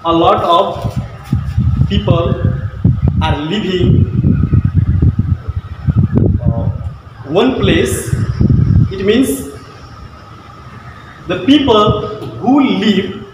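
Slow-talking Nepali man teaching, echoey room. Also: sits high, lively intonation, recorded from a distance, heavy background noise. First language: Maithili. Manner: slow, halting, short phrases with pauses. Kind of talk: classroom lesson to camera. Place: classroom with whiteboard, indoors. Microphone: far camera.

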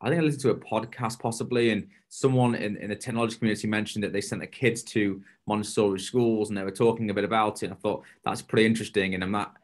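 A man in his thirties hosting a casual interview, asking questions in informal, halting phrases. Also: lowish voice, fairly even intonation. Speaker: podcast host, interviewer. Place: indoor home room, video call.

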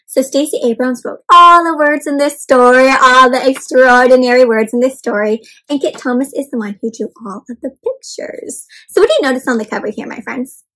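A young woman hosting an interactive read-aloud of a picture book for children, talking to them warmly as "my friends" and asking them questions. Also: high voice, fairly quick, few pauses, noisy background. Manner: animated, addresses kids as "my friends". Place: home room with bookshelf and plants.

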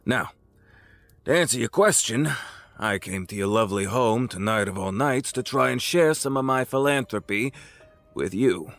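An actor playing an older crime boss in an audio drama, patronizing and deliberate, with a smug, folksy menace. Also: male voice, medium pitch, lively intonation, fairly bright tone, soft background music. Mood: smug, persuasive.

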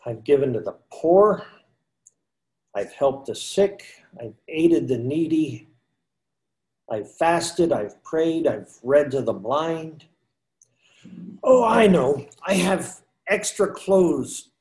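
A man's voice: fairly high pitch, animated, slow pace, pauses often, a little mellow. An older man playing a humble, pious young friar, musing aloud to himself in amateur readers theater. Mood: earnest musing. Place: indoors at home, video call.

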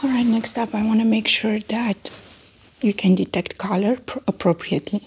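A woman doing a soft-spoken ASMR medical roleplay as a doctor, often whispering, with gentle, reassuring step-by-step instructions. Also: mid-range voice, moderate pace, a little hiss or hum. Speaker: ASMR performer playing a doctor.

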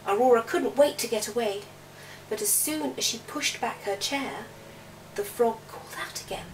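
A woman softly narrating a children's storybook aloud. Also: fairly high pitch, normal speed, a little hiss or hum. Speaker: storybook reader. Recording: distant mic, music behind the voice. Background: music.

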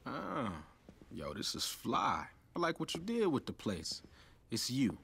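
A man's voice: mid-range voice, huge pitch swings, a little slow, vocal fry, fairly bright tone, a little hiss or hum, heavily acted. An actor playing a cocky young male hip-hop hustler in a video game cutscene, laid-back and full of street slang.

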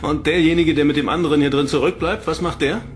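A man's voice: a little high, fairly even intonation, quick pace, slight background noise, close to an everyday voice. A man playing a bold, sceptical, defiant prisoner in a sci-fi radio drama.

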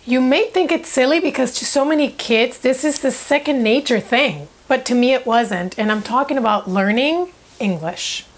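A woman's voice: high-pitched, normal speed, hardly any pauses. A young woman from Cuba and South Florida, a native Spanish speaker, telling a personal story to camera in an earnest, emphatic way. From Cuba, later South Florida. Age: young adult. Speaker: presenter of a personal video channel. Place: small furnished room indoors.

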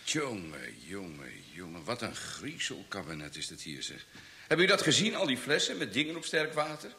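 Junior sergeant: naive, excitable, very lively bright delivery, radio drama. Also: male voice, lowish voice, moderate pace, slight background noise, exaggerated voice. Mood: amazed, squeamish. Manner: excitable, repeats words. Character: eager, naive male junior police sergeant. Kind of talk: radio play acting in Dutch.

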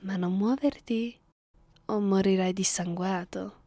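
A woman performs a centuries-old female vampire who toys coldly with a captive in an intimate ASMR roleplay. She speaks slowly and deliberately, with bored condescension, and often sinks into a whisper. The sound is close and very dry. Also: mid-range voice, steady tone, mellow, no room sound. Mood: possessive, commanding. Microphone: close microphone, dry sound.

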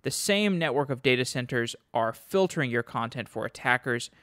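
A man's voice: mid-range voice, animated, normal speed, a bit bright, dry recording, clean studio sound. A man reading a scripted sponsor message as a podcast host. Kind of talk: scripted ad read.

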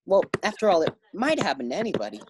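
A woman's voice: fairly low pitch, sing-song, fast, very noisy. A woman playing an eager, bumbling young husband in a 1940s-style radio comedy. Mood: worried. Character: young husband George, eager bank clerk.

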